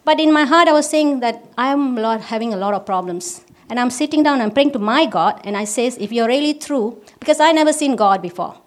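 A woman from Malaysia, giving a personal testimony at a church service in halting English with restarts. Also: on the high side, animated. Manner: halting, with restarts and simple non-native grammar.

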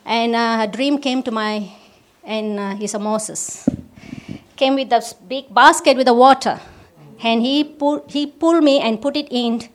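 A woman from Malaysia, giving a personal testimony at a church service in halting English with restarts. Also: fairly high pitch. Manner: halting, with restarts and simple non-native grammar.

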